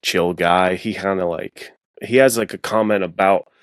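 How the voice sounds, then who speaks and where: A man speaking as a guest author in a casual podcast chat, informal and halting, often correcting himself as he goes. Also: sits low, steady tone, dry recording, studio quality. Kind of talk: casual podcast discussion of fiction writing.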